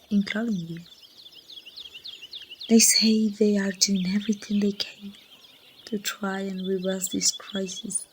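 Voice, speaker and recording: A grieving female survivor , acted by a woman with a Mexican accent, confides in someone she lost in a hushed ASMR roleplay. Her delivery is slow and flat, with gaps between phrases and a creaky edge. She is heard over faint noise and birdsong. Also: medium pitch. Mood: subdued, hopeful. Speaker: amateur voice actor.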